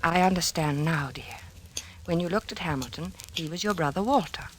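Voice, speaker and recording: An actress playing a patient, worried wife, gently questioning and coaxing in old-time radio drama style. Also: female voice, lowish voice, brisk, a little hiss or hum, lightly acted. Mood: concerned.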